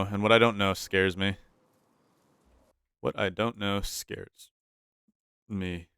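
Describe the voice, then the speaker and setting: A young man gives relaxed gameplay commentary in a slightly low voice that comes through stuffy and nasal. He rambles, wonders aloud and repeats his questions to himself. Despite the congestion his tone is smooth and clean, recorded close on a dry mic. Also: no echo. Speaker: gaming YouTuber.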